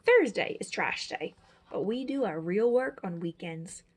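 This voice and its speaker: Expressive, slightly husky woman reading a storybook. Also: mid-range voice, measured pace. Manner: dramatic, emphatic phrasing. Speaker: storyteller, teacher. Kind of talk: expressive children's picture book read-aloud.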